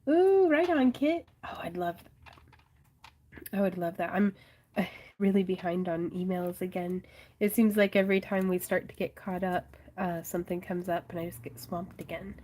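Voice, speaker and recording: A woman's voice: lowish voice, moderate pace. A woman hosting a crafting livestream, chatting casually and reading viewer comments aloud, congested and losing her voice with a sinus infection. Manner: chatty, reads viewer comments aloud, frequent soft laughs.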